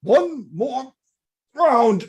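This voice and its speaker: A woman's voice: high-pitched, sing-song, very slow, a little mellow, breathy edge, exaggerated voice. A woman playing ringside figures at a boxing match in a script table read. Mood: urgent. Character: fight officials and corner crew at a boxing match.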